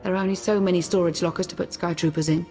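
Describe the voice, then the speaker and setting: A woman playing a brisk, wry resistance fighter in a video game, no-nonsense in manner. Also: lowish voice, fairly even intonation, mellow, faint music behind, lightly acted. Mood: wry chiding. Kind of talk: dramatic video game voice acting.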